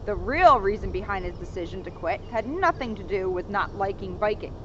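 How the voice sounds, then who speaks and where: A woman reading a children's picture book aloud outdoors in an expressive, instructive storytelling manner, on a noisy, dull recording. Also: mid-range voice, brisk. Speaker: police officer reading a children's book to viewers.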